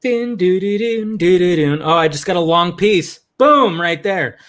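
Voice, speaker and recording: A man hosting a livestream, chatting casually and profanely in an animated way, often restarting his sentences. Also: high voice, a little slow. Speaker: livestream host. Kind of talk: casual chat on a group podcast.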